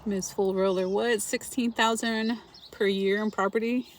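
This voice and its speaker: A woman's voice: mid-range voice, fairly even intonation, unhurried, slight background noise. A middle-aged woman from Los Angeles, hosting a casual livestream and chatting with viewers by name, laughing often. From Los Angeles, California. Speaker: frugal-living channel host reading viewer comments.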